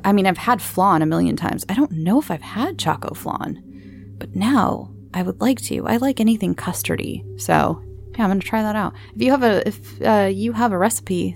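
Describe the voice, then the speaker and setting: A woman podcast host talks casually and informally, drifting from thought to thought as she answers listeners. Her mid-pitched voice has plenty of vocal fry. There is faint noise behind her. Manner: informal, rambling, chatty.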